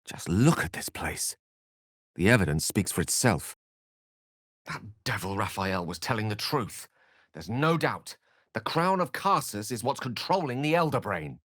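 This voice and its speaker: A man's voice: fairly low pitch, lively intonation, unhurried, a bit bright, no room sound, studio quality. A man playing an eloquent, bookish video game wizard, wry, proud and courteous, with an articulate, theatrical and refined delivery. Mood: wry, composed. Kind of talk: video game character acting.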